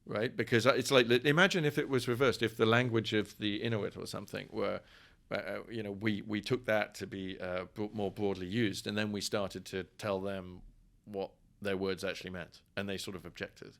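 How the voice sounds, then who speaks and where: A man's voice: on the low side, steady tone, normal speed, creaky, few pauses, fairly bright tone. A middle-aged man with an English accent talking discursively and wryly as a guest in a conversational interview, often repeating words as he thinks. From England. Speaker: professor, podcast guest.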